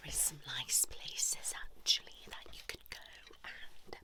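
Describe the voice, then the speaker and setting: A woman's voice: unhurried, natural voice. A British woman playing a cheeky, blunt couples therapist in an improvised, whispered ASMR roleplay, rambling with hesitant asides. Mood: coy, nudging.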